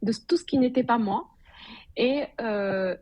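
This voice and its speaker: A woman's voice: a little high, measured pace, no echo, highs cut off. A woman from Morocco speaking as a podcast guest, telling her story in an informal, conversational way with bits of English slang. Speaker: podcast guest, digital consultant and blogger.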